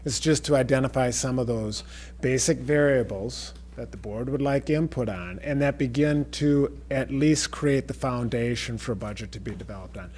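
A man's voice: mid-range voice, moderate pace, a little hiss or hum. Middle-aged man explaining a budget process. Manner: steady, explanatory. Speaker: district administrator. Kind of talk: budget presentation. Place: board meeting room. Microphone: desk mic.

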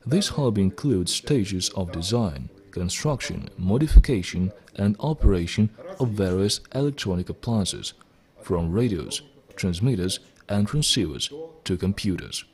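Man, middle-aged, low pitch, lively intonation, deliberate formal delivery, scripted tutorial narration. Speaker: presenter of electronics kit videos.

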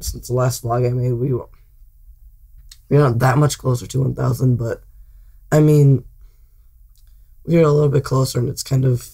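A teenage boy talks straight to camera at a desk mic in his small bedroom. His voice is mellow and worn hoarse, and he rambles, restarts his sentences and leans on fillers. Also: medium pitch. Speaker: channel host. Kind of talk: casual vlog talk to camera. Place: small cluttered bedroom, indoors. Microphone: desk mic in front of him.

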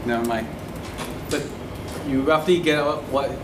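A man in his forties with a Singaporean English accent explains setup steps to a meetup crowd, looping back over his own phrases. His voice sounds distant and bounces around an echoey meeting room. A steady hiss of room noise sits under everything. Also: mid-range voice, measured pace. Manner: loose, restarts phrases, explains step by step, gestures at screen. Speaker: meetup speaker. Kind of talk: live tech talk with slides. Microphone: room camera mic from a distance.

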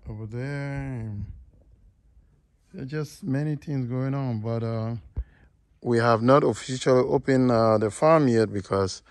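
A man giving a casual outdoor walkthrough of his farm plot, talking haltingly with many fillers and pleading with viewers to subscribe. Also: mid-range voice, no echo. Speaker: vlogger giving a farm tour.

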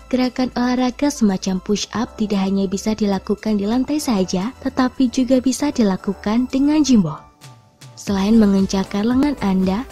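Mellow woman narrating over soft music. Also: medium pitch. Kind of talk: scripted instructional narration. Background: background music.

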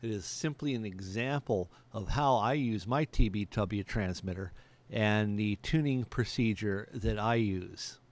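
A middle-aged man giving a plain, matter-of-fact step-by-step demonstration as an amateur radio operator. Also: lowish voice. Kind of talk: step-by-step instructional narration. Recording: clearly audible background noise.

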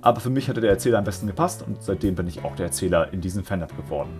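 A man with a low, calm voice explains his narrating work in an informal way. He talks quickly, and music plays behind him. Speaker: fan dub narrator and video creator. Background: background music.

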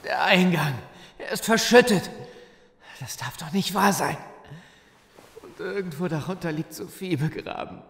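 A man's voice: a little high, expressive, a little slow, long pauses, a bit bright, exaggerated voice. A man playing an agitated, emotional survivor of a zombie apocalypse in an audio drama. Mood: shaken. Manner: urgent, emotional. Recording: echoing cavern-like reverb, clear noise.

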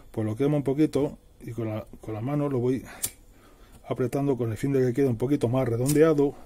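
Husky, dark-voiced man explaining. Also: mid-range voice. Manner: plain, step-by-step explaining with everyday diminutives. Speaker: presenter of a DIY tutorial. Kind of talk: casual hands-on how-to narration. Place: indoors at a worktable.

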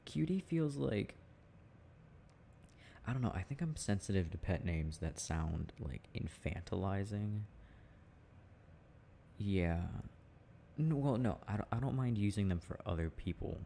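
A relaxed, teasing boyfriend played by a man in an intimate audio roleplay. His voice sits low with a gravelly fry, and he muses haltingly and casually. Some ambient noise sits behind him. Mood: musing, amused. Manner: halting, musing, chuckling delivery. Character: teasing, easygoing boyfriend chatting with his partner.

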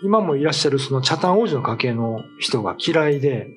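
A man's voice: mid-range voice, expressive, a little fast. A middle-aged man telling stories in casual conversation, often relaying what other people said. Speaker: writer and show regular telling ghost stories. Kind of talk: casual storytelling chat. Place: small meeting room, indoors. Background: faint music.

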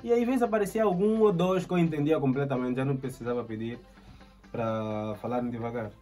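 A young man in his twenties with an Angolan Portuguese accent, the channel host, telling a story in relaxed casual chat full of slang and fillers. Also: medium pitch, a little fast, faint music behind. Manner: relaxed, fills with "yeah" and "tipo", slang. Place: indoor room, flag backdrop.

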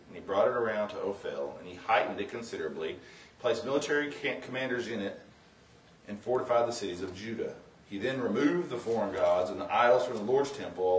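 A middle-aged man giving an informal, somewhat halting sermon as a guest preacher, mixing plain talk with scripture reading. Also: fairly low pitch. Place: church sanctuary, indoors. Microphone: far camera. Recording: roomy, distant from the microphone.

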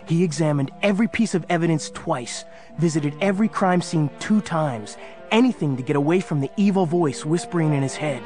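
A man's voice: a little high, brisk, a little mellow, soft background music. A man narrating a scripted story in a dramatic storytelling style.

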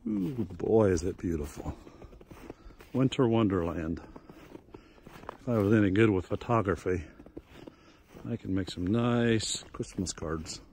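Middle-aged guy chatting his way around his snowy farm, kinda low voice, phone cam outside, little bit of wind noise. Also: unhurried, pauses often. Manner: relaxed, folksy, chatty. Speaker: farmer giving a tour. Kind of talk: casual walking tour commentary. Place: outdoors on a snowy farm and woods.

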